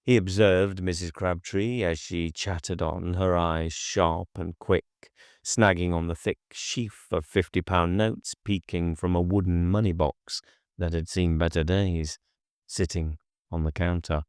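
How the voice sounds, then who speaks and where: Slow, deep-voiced male audiobook narrator. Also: steady tone, clean tone, no room sound, studio quality. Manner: measured, deliberate, literary storytelling. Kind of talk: scripted narration of gothic horror fiction.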